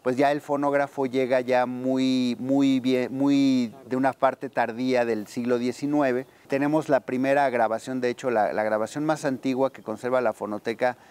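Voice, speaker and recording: A man's voice: medium pitch, fairly even intonation, normal speed, hardly any pauses, a little mellow, clear, resonant tone. A man, explaining thoughtfully in an interview and often searching for words. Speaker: archive deputy director, interviewee. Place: garden outdoors. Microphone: lapel.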